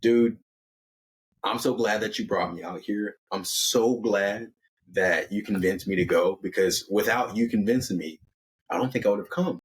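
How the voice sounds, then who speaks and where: A man voicing an anxious, excitable young outdoorsy guy in a story, earnest and full of slang. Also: lowish voice, moderate pace, lightly acted, rough recording. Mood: grateful.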